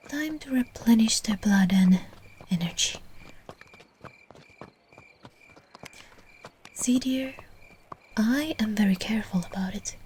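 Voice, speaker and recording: A woman playing a caring, soothing vampire owner in an ASMR roleplay, speaking in a soft, breathy whisper with tender reassurance. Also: medium pitch, slight background noise, lightly acted. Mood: calm, persuasive.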